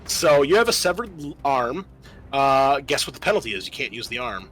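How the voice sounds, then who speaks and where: A man's voice: medium pitch, big pitch swings, a little fast, a bit bright, husky, rough recording. A man acting as game master, narrating a horror tabletop roleplaying game in an improvised way, mixing storytelling with rules talk and dry asides. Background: background music.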